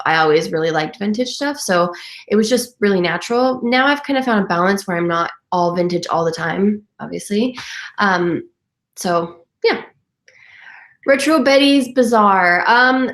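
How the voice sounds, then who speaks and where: A woman's voice: medium pitch, reverberant. A young woman with a stuffy, congested voice from a cold, chatting casually and cheerfully at home while she answers viewers' comments with lots of fillers. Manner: chatty, upbeat, many fillers. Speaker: vintage lifestyle vlogger.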